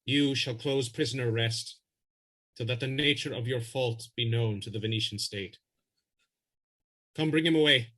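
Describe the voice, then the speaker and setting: A man's voice: mid-range voice, moderate pace, pauses often, a bit bright, lightly acted. A man playing a stern, authoritative official in a Shakespearean audio drama, formal and commanding. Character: stern, authoritative Venetian male official passing judgment.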